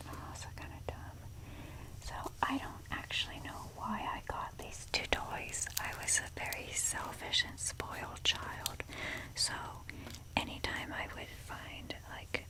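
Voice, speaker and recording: Female ASMR creator, whispered, slow delivery; casual, rambling, self-deprecating, mild swearing. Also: low-quality recording.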